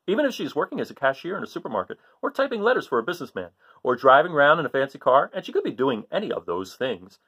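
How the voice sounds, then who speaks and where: Man reading aloud with swooping, animated pitch. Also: slightly rough. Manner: theatrical, emphatic, drawn-out suspenseful phrasing. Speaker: storybook reader for children. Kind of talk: dramatic read-aloud of a children's book.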